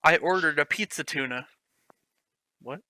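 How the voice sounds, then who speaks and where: A man's voice: fairly high pitch, measured pace, bright, forceful tone, dry recording. A man reading a clumsy fan story aloud, mocking it with sarcastic, swearing commentary. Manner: sarcastic, swears, laughs at the text.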